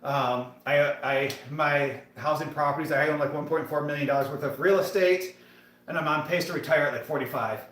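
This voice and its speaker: A man's voice: fairly high pitch, fairly quick, fairly bright tone, a little hiss or hum. Chatty man in his thirties, echoey gym. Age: 30s. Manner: chatty, hyped, talks to viewers in the chat. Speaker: fitness livestream host. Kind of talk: casual live-stream chat while working out.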